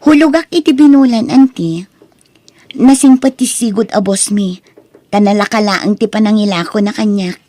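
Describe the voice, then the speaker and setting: A woman with an Ilocano Filipino accent playing a gracious, forgiving young niece in an audio drama, speaking gently and earnestly. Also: medium pitch, normal speed, no room sound, natural voice. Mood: hesitant.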